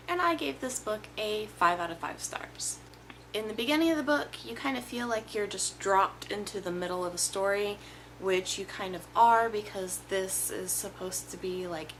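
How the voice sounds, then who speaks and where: A young woman with a hoarse, worn voice talks through her monthly reading in a chatty, rambling way and often corrects herself mid-sentence. She sits in a small room in front of her camera, and a faint hum of room noise stays under her voice. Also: medium pitch, fairly bright tone, low-quality recording. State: hoarse from illness. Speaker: book vlogger. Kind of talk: casual book review monologue.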